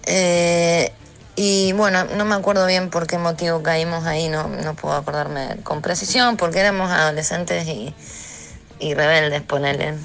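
An Argentine woman tells a casual anecdote with a heavily creaky, fairly low voice. She is colloquial and self-mocking, wandering through the details as she goes. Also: fairly bright tone. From Neuquén, Argentina. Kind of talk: casual personal anecdote in an audio message.

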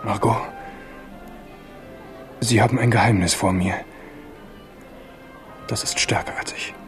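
An actor playing an ardent, proud young Huguenot prince in a German radio drama, impassioned and theatrical. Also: male voice, lowish voice, fairly even intonation, slow pace, faint music behind. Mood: tender, defiant.

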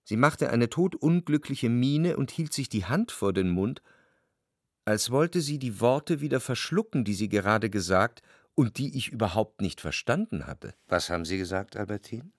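An actor playing a jealous, introspective gentleman who narrates a literary radio drama in a reflective, intimate way, tender yet analytical. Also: medium pitch, animated, normal speed, no echo, studio quality. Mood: probing.

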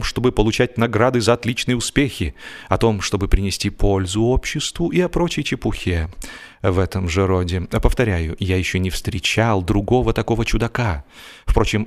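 A man narrating comic literature as an audiobook performer, in an expressive, mock-serious way, drawing out the ends of his phrases. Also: medium pitch. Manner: expressive, wry mock-serious delivery with drawn-out phrase endings.

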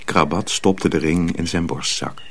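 A man's voice: sits low, steady tone, measured pace, a bit bright, slight background noise. A man narrating a radio drama as a scripted storyteller.

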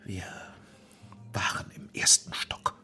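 An actor playing a wary, cornered film director in a radio drama, speaking in guarded, terse, halting phrases. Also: male voice, bright, forceful tone, in a whisper, soft background music. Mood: defensive, subdued.

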